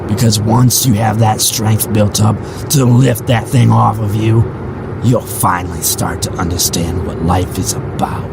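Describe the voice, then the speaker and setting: A man's voice: on the low side, steady tone, a little slow, creaky, very noisy. A man voicing a bitter, ominous faceless man in an audio drama, speaking in preachy philosophical monologues. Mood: grim, preachy.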